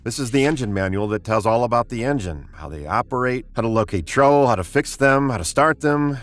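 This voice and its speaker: A man's voice: mid-range voice, lively intonation, a little fast, fairly bright tone, lightly acted. A man acting in a 1950s radio drama as a brusque, authoritative spaceship captain who also narrates his story. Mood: tense. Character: commanding, tense male spaceship captain, brooding narrator.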